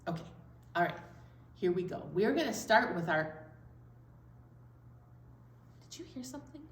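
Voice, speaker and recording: A woman's voice: medium pitch, very animated, measured pace. A woman hosting story time, talking playfully and directly to young children and asking them questions as she leads an activity. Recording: roomy echo, distant from mic.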